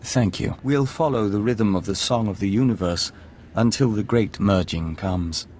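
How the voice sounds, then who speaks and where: A man plays a serene diplomat bonded to a hive mind in a sci-fi game. His voice is fairly low, and his phrasing is formal, courteous and faintly mystical. Some faint noise runs behind the lines. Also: moderate pace, darkish tone, close to an everyday voice. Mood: serene, grateful. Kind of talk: scripted video game dialogue.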